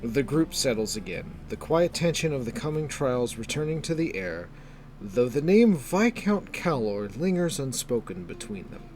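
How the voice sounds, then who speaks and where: Measured male fantasy narrator, medium pitch. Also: very animated, darkish tone, faint music behind. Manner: measured, descriptive storytelling. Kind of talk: scripted fantasy story narration.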